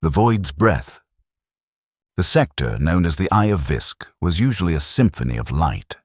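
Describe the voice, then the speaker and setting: Very deep dramatic male narrator. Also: big pitch swings, moderate pace, husky, no echo, studio quality.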